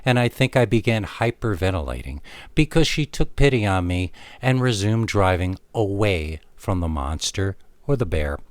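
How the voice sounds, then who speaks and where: A man reading a scary story in the first person as a dramatic narrator, with theatrical, emphatic delivery and deliberate pauses. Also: low-pitched, animated, a little hiss or hum.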